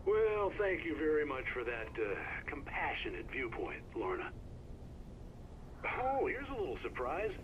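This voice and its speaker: A man's voice: a little high, sing-song, a little slow. A man playing a genial, wry small-town radio host in a video game, with folksy patter and dry sarcasm. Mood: wry, upbeat. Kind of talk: late-night call-in radio patter. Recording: dull radio sound, very heavy noise.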